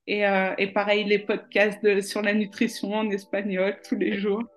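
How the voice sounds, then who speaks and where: A woman's voice: medium pitch, completely flat delivery, normal speed, soft background music. A woman guest in a podcast interview, telling her story casually, with lots of hesitations and restarts. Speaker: nutritionist guest.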